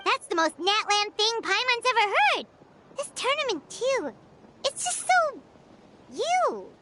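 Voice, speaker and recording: A woman voicing a tiny, childlike, excitable fairy companion in a video game, squeaky and exclamatory. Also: measured pace, heavy background noise, full character voice. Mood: amazed, teasing. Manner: speaks of self in third person, exclamatory.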